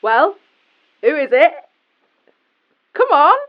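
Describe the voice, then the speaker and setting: Midlands woman, chatty, blunt, higher pitch, muffled recording. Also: big pitch swings, slow, heavily acted. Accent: English Midlands. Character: chatty woman answering a phone box, tipsy and blunt.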